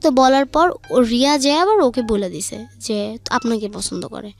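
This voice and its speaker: A woman's voice: a little high, expressive, normal speed. A young woman with a Bangladeshi accent tells her own story conversationally, recounting who said what in a colloquial way over music. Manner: colloquial, recounting dialogue turn by turn. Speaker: guest telling own life story.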